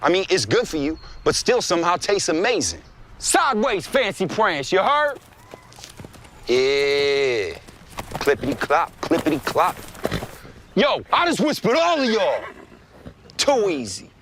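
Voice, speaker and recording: A man's voice: high-pitched, huge pitch swings, measured pace, pauses often, a bit bright, a little hiss or hum. A man performing a comic scripted advertisement in a playful, exaggerated, slangy way.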